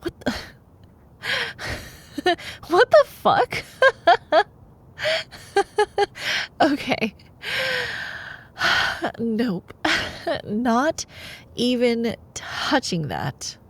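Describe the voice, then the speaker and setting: A woman acting as a sweet, playful girlfriend with a fairly high voice and a very unhurried pace. She sounds expressive and opinionated, in an intimate ASMR bedtime roleplay. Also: gravelly, full character voice. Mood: baffled, amused. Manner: expressive, exasperated asides between measured reading. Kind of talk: intimate ASMR roleplay, storybook reading with commentary.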